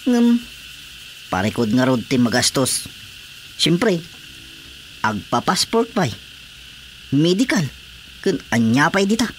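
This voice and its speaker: Man playing resigned villager, slightly high voice. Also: sing-song, slow pace, long pauses, a little hiss or hum. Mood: worried. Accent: Ilocano Filipino. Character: resigned village man, hard-up farmer and fisher. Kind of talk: Ilocano radio drama comedy acting.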